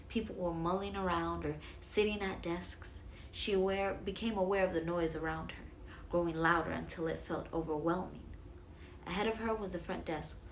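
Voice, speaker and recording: A woman with a fairly low voice tells a bedtime story for children as a storyteller. Faint noise sits behind her on the recording. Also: poor audio.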